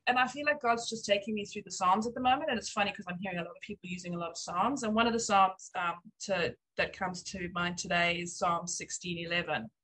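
A woman of forty-nine shares testimony and prayer with her church. Her voice is husky and mid-pitched, and she talks in a heartfelt, conversational, encouraging way. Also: steady tone, quick pace, few pauses, fairly bright tone, low-quality recording. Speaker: church member giving testimony and offering prayer.

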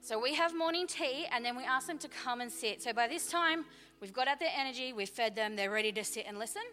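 A woman's voice: a little high, fairly quick, sharp tone. A woman children's team leader, explaining the kids' program in a friendly, slightly nervous way. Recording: reverberant church hall with music behind.

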